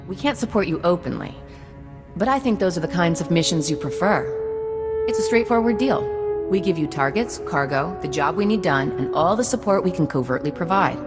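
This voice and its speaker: Woman playing persuasive lady senator in a game, lowish voice, formal but really lively, music behind. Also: a little fast, close to an everyday voice. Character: poised, persuasive female galactic senator, adventurous. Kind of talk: video game cutscene acting.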